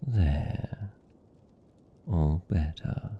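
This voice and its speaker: A man voicing a possessive, doting vampire captor in an intimate ASMR roleplay, with a British accent and a slow, murmured, coaxing delivery. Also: heavily acted. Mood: doting, soothing. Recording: muffled, rumbly, faint background noise.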